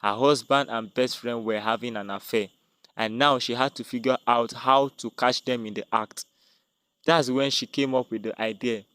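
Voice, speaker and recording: A man's voice: medium pitch, fairly even intonation, normal speed, bright, forceful tone, smooth, dry recording. A man narrating a dramatic scripted tale as a storyteller.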